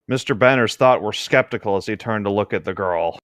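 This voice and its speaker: Low-voiced, easygoing chatty man. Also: brisk, a bit bright, no room sound, studio quality. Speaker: co-host of a humorous read-aloud commentary. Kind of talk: casual read-aloud with chatty asides.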